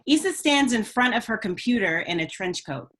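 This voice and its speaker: A woman's voice: fairly high pitch, big pitch swings, moderate pace, a bit bright. A woman reading stage directions as scripted narration for a screenplay table read.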